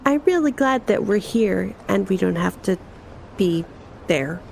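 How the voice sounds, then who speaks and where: A woman's voice: medium pitch, lively intonation, a little slow, creaky, darkish tone, slight background noise. A woman chatting casually as a player in a tabletop roleplaying game.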